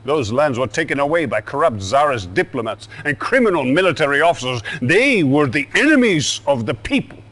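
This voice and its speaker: An actor playing an older Soviet dictator in a video game, ominous and deliberate. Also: male voice, on the high side, very animated, fairly bright tone. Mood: grandiose, ominous. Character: menacing Soviet dictator, older man, boastful and theatrical. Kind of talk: video game cutscene acting.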